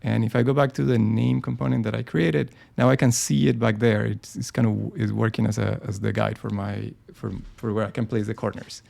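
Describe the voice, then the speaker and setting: A man's voice: lowish voice, fairly even intonation, fairly quick, vocal fry, hardly any pauses, darkish tone. A man walking through a design tutorial live on screen, talking casually and thinking aloud, with frequent ums and restarts. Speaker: design software presenter.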